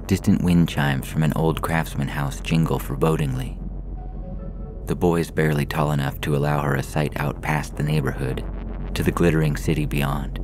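Very deep, gravelly male story reader. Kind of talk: scripted story narration over music. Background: music.

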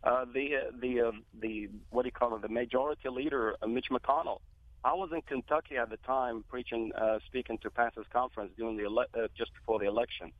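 A man's voice: medium pitch, steady tone, quick pace. Man from Iraq on a tinny phone line. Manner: earnest, repeats himself with many fillers. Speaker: guest speaker. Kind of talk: political talk radio interview.

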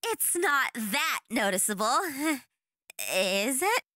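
A woman voicing a sweet, earnest young female demon in a cartoon, gentle and effusive. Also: high-pitched, very animated, slow, no room sound, clean studio sound, exaggerated voice. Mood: hesitant, tactful.

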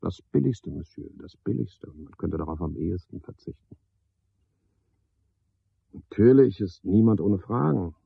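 An actor playing a pedantic, misanthropic night porter in a radio drama, a man with a husky, gravelly voice and a pompous, sardonic manner. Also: deep voice, animated, measured pace, long pauses, dry recording, exaggerated voice. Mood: scornful, ranting. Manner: pompous, sardonic, ornate wording. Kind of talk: 1970s German radio drama monologue. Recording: dull, dry 1970s radio recording.

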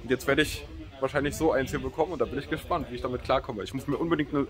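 A man, chatting casually and excitably in slang to a phone camera as a vlogger. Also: medium pitch, racing. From Berlin, Germany. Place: outdoors on holiday, by beach, pool and roads. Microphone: phone at arm's length. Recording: noisy outdoor phone recording.

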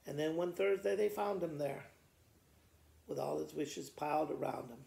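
Gravelly older man reading to children. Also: on the high side, normal speed. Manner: expressive, theatrical delivery. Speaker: school principal reading to students. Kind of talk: storytime poetry reading. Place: living room by a fireplace. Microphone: camera a short distance away. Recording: roomy indoor echo.

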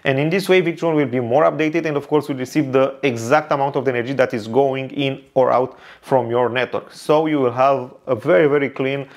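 A man's voice: medium pitch, fairly even intonation, fairly quick, few pauses. A man in his thirties from Romania, with a Romanian accent and Romanian as his first language, reviewing a tech product in careful English with fillers and repeats. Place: indoor studio with neon lights and shelves.

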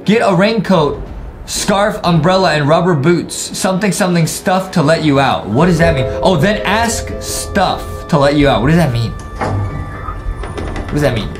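A young man hosting a gaming reaction video, commenting excitedly in slangy bursts and repeating his phrases. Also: on the high side, a little slow. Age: young adult. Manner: hyped, repeats phrases, slangy, often shouting. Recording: music behind the voice.

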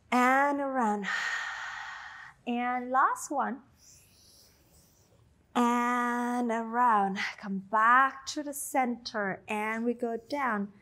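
A woman in her thirties with an Australian-tinged accent, guiding a Pilates class with slow, soothing cues and chatty asides. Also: a little high, sharp tone. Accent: Australian-tinged English. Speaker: Pilates instructor. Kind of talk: guided exercise instruction.

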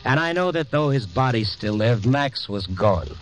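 A man's voice: mid-range voice, animated, moderate pace, a little hiss or hum, natural voice, rough recording. An actor playing a world-weary, compassionate American newspaperman narrating a vintage radio drama. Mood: somber, reflective. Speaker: lead character and narrator. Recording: vintage radio recording, loud music bed.

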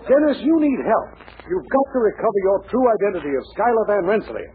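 An actor playing an excitable, blustering drugstore boss in a 1940s radio comedy, speaking emphatically. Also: male voice, high-pitched, fast. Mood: urgent. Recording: old 1940s radio broadcast, muffled, hissy.

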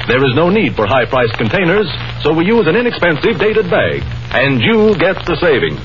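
A man's voice: sing-song, moderate pace, faint music behind. An American man reading a scripted 1930s radio advertisement as a formal, earnest announcer. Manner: formal, earnest sales pitch. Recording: dull 1930s radio broadcast.

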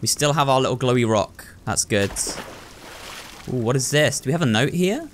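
A man's voice: mid-range voice, lively intonation, normal speed, slight background noise. A man hosting a video game playthrough, thinking aloud and talking to viewers in a running commentary. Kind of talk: excitable gameplay commentary.

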